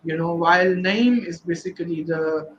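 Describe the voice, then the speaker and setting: A man's voice: fairly high pitch, moderate pace, fairly bright tone, roomy sound, muffled recording, slight background noise. A man discussing his translation of a novel, rambling with constant "you know" fillers. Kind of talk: virtual panel discussion.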